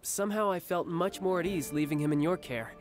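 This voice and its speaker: A man's voice: high-pitched, fairly quick, lightly acted. A man playing a cocky, friendly young fighter. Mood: friendly, confident. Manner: smooth, friendly, confident. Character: charming young male warrior, cocky and fond of family. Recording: game audio with loud music behind.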